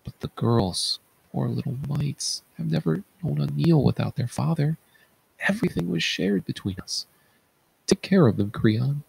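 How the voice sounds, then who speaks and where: Man reading as an anguished blinded king, gravelly and husky. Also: fairly high pitch, expressive, a little slow, darkish tone, voice pushed up, full character voice, rough recording. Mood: tender pleading. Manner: theatrical, grief-laden declaiming. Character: blinded fallen king, anguished, pleading, tender father. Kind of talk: read-aloud Greek tragedy.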